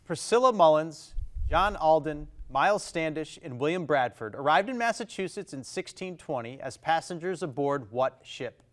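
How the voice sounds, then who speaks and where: A man with a Maine accent hosting a televised high school quiz show, reading questions briskly and reacting quickly to the answers. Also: lively intonation, a bit bright. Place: television studio.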